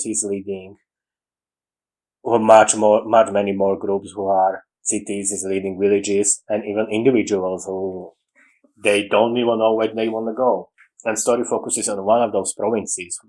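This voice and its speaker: A man with a Slovak accent, English being his second language, talks in an unscripted, meandering way. His voice sits on the lower side. He often restarts sentences and leaves out small words. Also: a little mellow, low-quality recording. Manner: rambling, with frequent restarts and dropped articles. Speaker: writing podcaster. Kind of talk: casual monologue on story writing.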